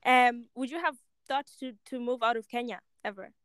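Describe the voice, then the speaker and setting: A woman hosting a podcast in casual, excitable chat, with informal, slangy phrasing. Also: fairly high pitch, moderate pace, smooth. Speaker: podcast host.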